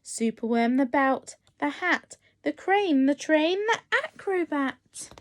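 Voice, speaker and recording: A woman reading a picture book aloud as a nursery teacher, expressive and encouraging as she addresses young children. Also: high voice, slow, no echo, clean studio sound. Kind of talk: animated children's storytime reading.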